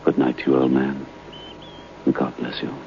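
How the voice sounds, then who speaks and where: Sombre male visitor, radio horror: very deep, uneasy, gravelly, dull noisy vintage recording. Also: completely flat delivery, moderate pace. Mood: uneasy, subdued. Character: sombre, uneasy visitor taking his leave at night. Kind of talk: radio horror drama acting.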